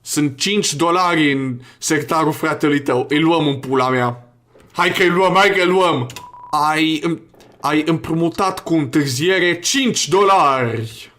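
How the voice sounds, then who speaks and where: A man's voice: on the high side, bright, forceful tone, slight background noise. A man giving casual, crude and joking gameplay commentary, reading game text aloud as he goes. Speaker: gaming video host. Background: video game music.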